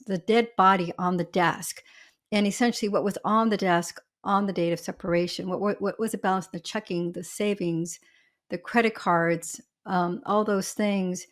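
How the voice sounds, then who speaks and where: Husky-voiced woman attorney explaining plainly. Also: mid-range voice, moderate pace. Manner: talkative, explains with everyday examples and asides. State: husky from allergies. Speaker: family law attorney, guest. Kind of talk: podcast interview, explaining law.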